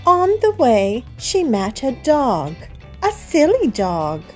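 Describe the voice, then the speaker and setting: A woman hosting story time, reading a picture book aloud to young children in a deliberate, sing-song manner. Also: fairly high pitch, huge pitch swings, faint music behind. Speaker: children's storybook reader and host.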